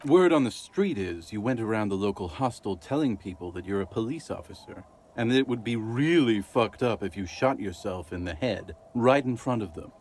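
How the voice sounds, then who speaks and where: A man's voice: on the low side, expressive, moderate pace, hardly any pauses, darkish tone, a little hiss or hum, natural voice. A man playing a wry, philosophical drunkard in a video game, telling tales in a laid-back, mock-eloquent way. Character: wry, boozy male alcoholic storyteller, grandiose and philosophical. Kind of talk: video game character monologue.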